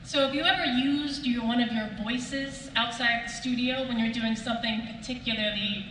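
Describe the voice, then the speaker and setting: A woman with a fairly high, bright voice asks questions as a panel moderator. She sounds distant, with strong hall echo around her. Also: steady tone, moderate pace. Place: large convention panel room. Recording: very echoey hall, distant mic, faint noise.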